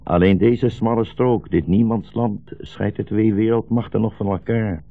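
An actor playing a weary, bitter old blind rabbi fleeing war, solemn and brooding, in a 1960s Dutch radio drama. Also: male voice, fairly low pitch, fairly even intonation, moderate pace, faint music behind, lightly acted. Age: older. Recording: dull, muffled 1960s radio recording.

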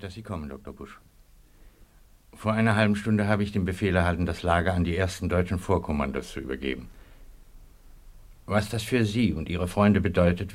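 A resolute camp commander who gives orders firmly and quickly. His voice sits low and sounds steady in a radio play. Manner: firm, commanding, urgent.

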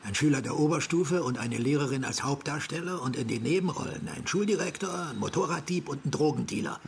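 A man playing a gruff older police chief in a German radio crime drama, sarcastic and commanding. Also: fairly high pitch, expressive, a little fast, slight background noise. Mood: sardonic.

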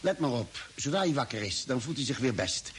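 An actor playing a cautious, caring expedition doctor in an old radio play. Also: male voice, mid-range voice, brisk. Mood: cautious, concerned. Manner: calm, reassuring, cautioning. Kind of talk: mid-20th-century Dutch radio play acting. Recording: hissy old radio drama recording.

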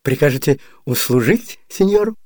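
High-pitched, wily comic servant. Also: male voice, animated, normal speed, darkish tone. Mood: cheerful. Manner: wheedling, chatty, theatrically pleading. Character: wily, fawning, excitable male servant, a comic trickster. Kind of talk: classic comedy radio play acting. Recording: reverberant 1980s Soviet radio studio.

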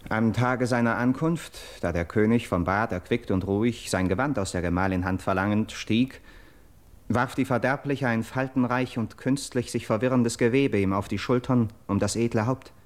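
An actor playing an earnest Greek captive in a classical verse radio drama, declaiming solemnly and dramatically. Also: male voice, medium pitch, normal speed, lightly acted. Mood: solemn, horrified. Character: earnest Greek captive bearing grim news of murder.

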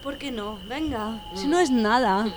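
A woman's voice: a little high, unhurried, background noise, voice pushed up, natural voice. A woman doing a cruel coaxing assailant.

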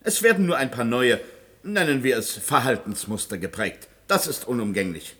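An actor playing a clinical, authoritative scientist in a science fiction radio play, matter-of-fact in manner. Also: male voice, medium pitch, expressive, normal speed, fairly bright tone, lightly acted.

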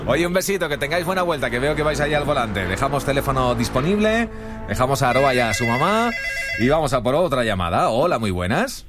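A man's voice: mid-range voice, sing-song, quick pace. A man with an accent from Spain hosting a phone-in radio quiz in an informal, playful, encouraging way. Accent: Spain Spanish. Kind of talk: lively phone-in game show hosting. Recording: radio broadcast with loud music bed.

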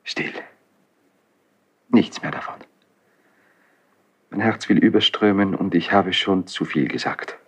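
Husky, anguished young prince. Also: male voice, low-pitched, takes it slowly, long pauses, heavily acted. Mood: agitated, wary. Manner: impassioned, brooding, theatrical. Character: anguished young Spanish crown prince, bitter, impulsive, brooding. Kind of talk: 1970s German radio play, classical stage acting.

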